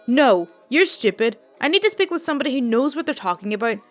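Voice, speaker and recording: A woman voicing a rude elderly woman caller with a smoker's voice who loudly insults and demands a supervisor. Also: high-pitched, very animated, fast talker, soft background music. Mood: angry, insulting. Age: older.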